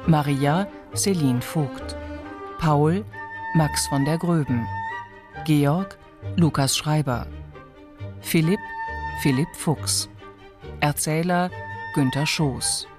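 A woman announcer reading scripted credits in a measured manner. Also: sits low. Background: music.

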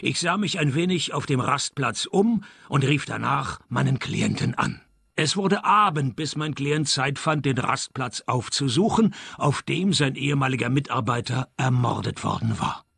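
An actor playing a wry, cynical private detective in a radio crime comedy, speaking in a dry deadpan way. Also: male voice, medium pitch, moderate pace, creaky, breathy edge, dry recording, full character voice. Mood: dry narration. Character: wry, cynical male private detective, world-weary and unimpressed. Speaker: lead actor and first-person narrator.

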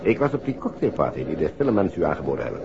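An actor playing a courteous, sociable airline passenger in a 1960s radio drama. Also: male voice, extremely low pitch, very animated, racing, exaggerated voice. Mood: sociable, polite. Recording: dull old recording, clear background noise. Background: noisy aircraft cabin ambience.

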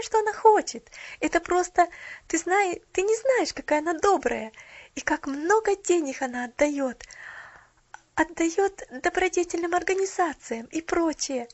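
A woman's voice: very high-pitched, dry recording, highs cut off, pitched above the performer's own voice. A woman voicing an eager little girl who chatters breathlessly and pleads in a rush. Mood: pleading. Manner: breathless, gushing, rapid pleading.

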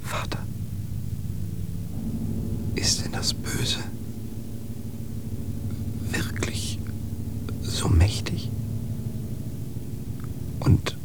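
An actor playing a devout man who pleads against church cruelty in a hoarse, creaky whisper. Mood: pleading. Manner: pleading, earnest, halting. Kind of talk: radio drama acting.

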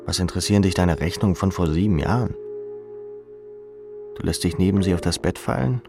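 A man with a low voice reads literary prose addressed to "you". Music plays clearly behind his narration. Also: brisk. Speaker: audiobook narrator. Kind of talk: literary second-person narration.

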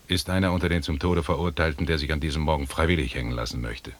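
An actor playing a stern expedition commander in a radio play, formal and commanding, with a husky voice. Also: male voice, extremely low pitch, fairly even intonation, fast. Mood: grim, formal. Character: stern British expedition commander in colonial Africa. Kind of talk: 1980s German radio play acting.

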